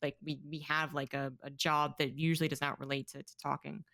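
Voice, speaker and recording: Woman host, deep voice, reflective, fillers, dry headset sound. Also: flat delivery, fairly quick, fairly bright tone, dry recording. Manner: reflective, thinks aloud with fillers. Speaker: esports commentator, podcast host. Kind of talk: casual interview chat. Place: small room at home. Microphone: headset on video call.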